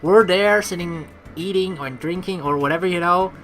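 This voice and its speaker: A woman commentating casually over a game, reading its text and thinking aloud. Her voice is on the low side for a woman yet bright and very expressive. Soft game music sits behind her. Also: moderate pace. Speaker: let's player.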